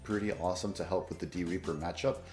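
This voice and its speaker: Hoarse man, low voice, quick rambling talk, faint music behind. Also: fairly even intonation, a little mellow, reverberant. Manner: rambling, fillers and self-corrections. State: hoarse, voice mostly lost. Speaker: card game content creator. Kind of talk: casual commentary on tournament results.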